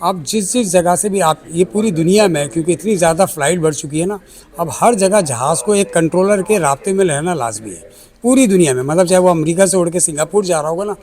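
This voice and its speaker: A man's voice: high voice, a little mellow. A man with a Pakistani accent answering interview questions as an aviation expert, explaining step by step and mixing in English technical terms. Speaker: air traffic control chief, aviation expert. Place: air traffic control centre.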